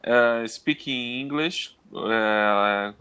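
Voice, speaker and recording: Halting Brazilian man learning English. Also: mid-range voice, sharp tone, clear, resonant tone. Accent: Brazilian Portuguese. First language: Portuguese. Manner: halting, many restarts and fillers while searching for English words. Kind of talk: casual video-call conversation. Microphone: video call.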